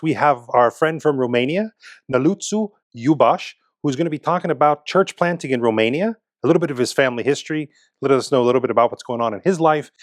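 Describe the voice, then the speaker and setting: Fast-talking male podcast host. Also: mid-range voice, no echo, clean studio sound. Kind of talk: podcast interview hosting.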